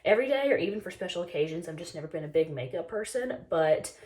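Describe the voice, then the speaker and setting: A woman's voice: lowish voice, animated, fast, a little mellow. Chatty young woman losing her voice. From North Carolina, US. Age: 20s. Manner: chatty, lots of "like" and "um". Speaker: beauty vlogger. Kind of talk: casual get-ready-with-me chat.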